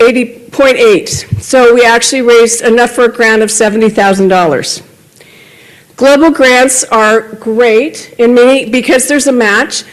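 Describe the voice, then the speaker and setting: A woman's voice: fairly high pitch, low-quality recording. Woman reporting at a club meeting. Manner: conversational, with self-corrections and small laughs. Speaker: club member reporting on a grant project. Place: meeting room, at a podium.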